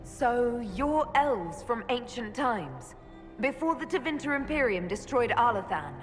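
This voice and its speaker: A woman's voice: a little high, very animated, a little slow, faint music behind. A woman playing a direct, composed elf mage leader in a fantasy game, plain-spoken and controlled. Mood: firm, diplomatic. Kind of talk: fantasy game dialogue acting. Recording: long hall reverb.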